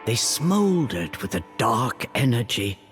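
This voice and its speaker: Expressive male storybook narrator. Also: mid-range voice, over music. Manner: measured, expressive.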